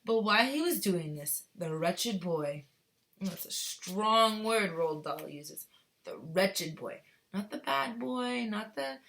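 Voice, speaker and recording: A woman's voice: mid-range voice, a bit bright. A woman teacher reading a children's story aloud expressively, with explanatory asides for young pupils. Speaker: second-grade teacher.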